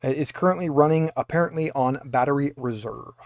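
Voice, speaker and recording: A man running a tabletop roleplaying game as game master, speaking haltingly as he thinks aloud. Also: mid-range voice, no echo, clean studio sound.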